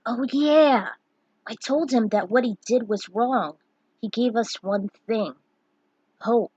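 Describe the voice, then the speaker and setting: A woman's voice: fairly high pitch, takes it slowly, darkish tone, breathy edge, dry recording, dull audio. A woman voicing a brave, earnest young male adventurer in a storybook reading. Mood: earnest, proud.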